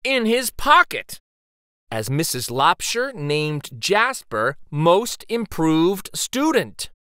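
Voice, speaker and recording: A man narrates a children's story in a dramatic read-aloud style. He takes his time, leaving theatrical pauses for suspense. His pitch moves widely, and the sound is dry. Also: big pitch swings, pushed and bright, smooth, no echo. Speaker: picture book read-aloud host.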